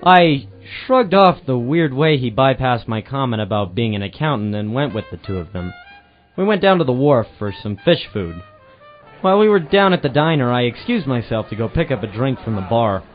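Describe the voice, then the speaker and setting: Man playing wry private eye with lively pitch swings. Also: moderate pace, natural voice. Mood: narrating dryly. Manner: wry, sardonic. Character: hard-boiled, wisecracking male private eye narrating his case. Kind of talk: old-time detective radio drama, narration and dialogue. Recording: muffled amateur radio-drama recording with music.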